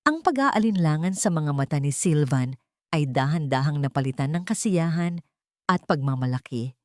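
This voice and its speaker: A woman narrates a scripted dramatic story. Her voice sits low for a woman, and the recording is dry and close, with hardly any room in it. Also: expressive, moderate pace, no room sound.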